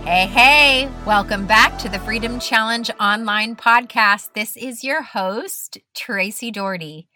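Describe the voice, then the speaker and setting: A woman hosting a faith-based podcast interview, speaking effusively and warmly praising her guest. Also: fairly high pitch, soft background music. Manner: effusive, praising, drawn-out phrasing. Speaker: podcast host.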